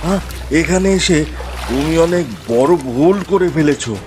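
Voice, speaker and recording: A man acts out a wicked cartoon sorcerer who talks slowly and deliberately, with big swings in pitch. He sounds boastful, commanding and full of schemes, over faint music. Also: animated. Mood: agitated, commanding. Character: menacing male tantric sorcerer, scheming and boastful. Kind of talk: Bengali fairy-tale cartoon dubbing.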